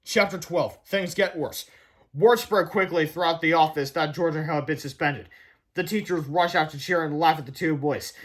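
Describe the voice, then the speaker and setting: A man's voice: a little high, moderate pace. A man narrating a children's book as a read-aloud. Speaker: storybook reader.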